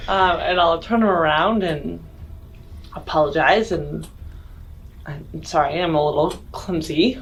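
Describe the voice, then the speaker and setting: A woman's voice: low-pitched, unhurried, rough recording. A woman chatting casually as a player in a tabletop role-playing game, slipping into in-character lines.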